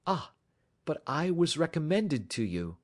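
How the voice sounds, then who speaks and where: A man voicing a shrewd, calm detective who speaks in a measured, offhand way in an audiobook story. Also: fairly high pitch, voice pushed up. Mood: cool. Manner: calm, measured, careless-seeming. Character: cool, shrewd male detective, middle-aged.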